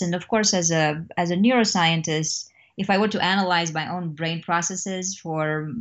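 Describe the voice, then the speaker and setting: Romanian-accented woman, low and muffled. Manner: thoughtful, with frequent fillers and light laughs. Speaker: guest. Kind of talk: podcast interview.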